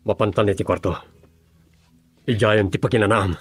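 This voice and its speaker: An actor playing a calm escort in a radio drama who speaks in a steady, reassuring way. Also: male voice, lowish voice, normal speed, lightly acted.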